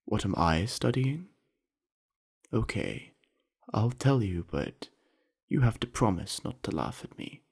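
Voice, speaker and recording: Man voicing a gravelly, friendly young orc jock. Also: on the low side, big pitch swings, full character voice. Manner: easygoing, chatty, earnest, with musing pauses. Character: friendly, earnest, sweaty young male orc university jock. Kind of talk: scripted ASMR roleplay addressed to the listener.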